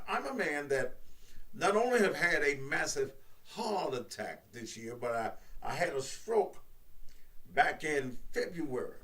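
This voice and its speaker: A man in his sixties, a radio host and preacher, talking in a slow, emphatic preaching cadence. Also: a bit bright, distant mic. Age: 60s. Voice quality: baritone. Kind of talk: preachy live-stream talk.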